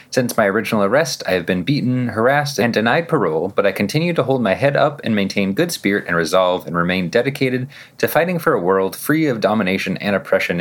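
A man presenting a podcast, reading scripted news items and quotations with emphatic, measured phrasing. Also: on the low side.

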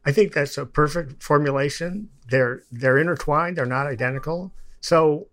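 A man speaking as a podcast guest about poetry, with a halting, digressive delivery. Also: fairly high pitch, a bit bright, no echo. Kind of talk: reciting poetry, then informal explanatory discussion.

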